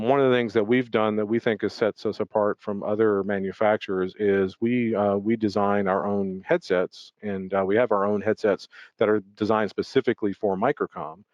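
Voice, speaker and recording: A man with a fairly low voice walks through a product presentation in an explanatory, off-the-cuff way. He often pauses on ums and repeats small words. The sound is dry and close, with a dull, lo-fi webinar quality. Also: a little mellow, no echo. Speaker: product presenter for an audio equipment maker.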